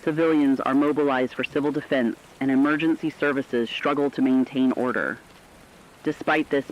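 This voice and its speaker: A man's voice: mid-range voice, close to an everyday voice. A man playing a radio war correspondent, delivering a scripted dramatic news report in a grave, formal, emphatic way. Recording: dull lo-fi radio sound, faint rain.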